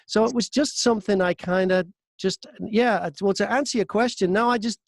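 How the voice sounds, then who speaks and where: A middle-aged British man with a fairly high voice talks casually in an interview. He is a chatty, self-deprecating storyteller with the ease of a radio presenter. The sound is dry, with very little room in it. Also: brisk, no room sound. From Britain. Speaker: voice-over artist and former radio presenter being interviewed. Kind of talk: casual anecdotal interview chat.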